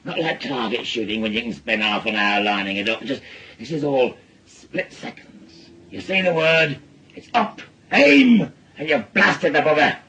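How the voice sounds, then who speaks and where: An actor playing a bitter, menacing wronged husband in a radio drama, with a Northern English accent and a goading, rambling way of telling things. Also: male voice, mid-range voice, expressive, normal speed, background noise, natural voice. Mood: boastful, edgy. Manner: goading, rambling storyteller with taunting tag questions. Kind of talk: naturalistic radio drama acting.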